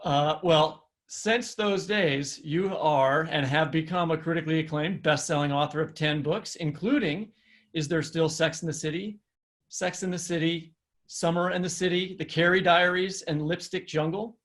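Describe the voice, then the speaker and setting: A man's voice: fairly high pitch, fairly even intonation, normal speed, a bit bright. Male podcast co-host, conversational. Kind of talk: conversational interviewing.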